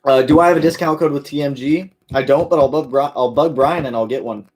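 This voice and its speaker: Brief: male car-channel host, relaxed live Q&A, filler-heavy and friendly, mid-range voice. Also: mid-range voice, brisk. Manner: laid-back, many fillers, calls viewers "my man". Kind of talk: casual live-stream Q&A.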